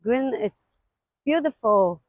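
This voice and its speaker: A woman with a fairly high voice talks at a very unhurried pace. She is a non-native English speaker using short, simple, halting phrases. She narrates a casual sightseeing vlog outdoors, exclaiming as she addresses viewers. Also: expressive, no room sound, clean studio sound. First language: not English. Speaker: travel vlogger. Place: outdoors at a windy mountain lookout.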